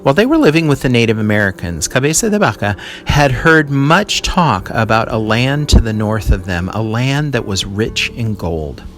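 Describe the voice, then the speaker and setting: A man reading a history text aloud as a teacher, in a deliberate storytelling delivery with short explanations. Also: medium pitch, expressive, a bit bright, soft background music.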